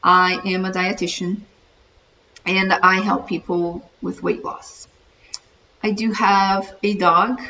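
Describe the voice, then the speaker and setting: A woman's voice: mid-range voice, level tone, takes it slowly, pushed and bright. A middle-aged woman from Brazil with a Brazilian Portuguese accent, earnestly introducing her channel and encouraging viewers. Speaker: vlogger, channel host. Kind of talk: casual self-introduction to camera. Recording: distant mic, dull muffled sound, faint room hiss.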